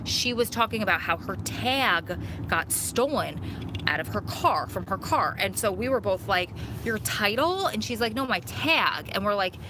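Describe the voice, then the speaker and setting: A woman's voice: mid-range voice, animated, normal speed, noisy background. A woman in her thirties with a New York and New Jersey accent, chatting casually on a live stream, reading viewer comments and laughing at herself. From Long Island, New York. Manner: chatty, laughs often, self-mocking. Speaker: vlogger hosting a live Q&A.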